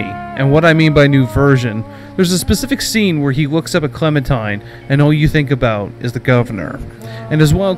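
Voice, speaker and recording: A man presents a video game review as a podcast host, speaking at an average pitch. His delivery mixes prepared narration with off-the-cuff commentary. Music plays clearly behind his voice.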